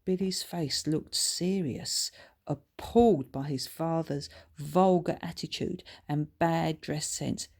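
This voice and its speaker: A woman with a slightly low voice reads a children's story. She narrates expressively from a script, in the role of the book's author. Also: slow, darkish tone. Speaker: author reading own children's book.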